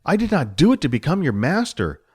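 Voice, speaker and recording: A man's voice: on the high side, sing-song, a little fast, darkish tone, slightly rough, voice pushed up. Jess, a man doing an earnest young hero. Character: earnest young man, confused but kind.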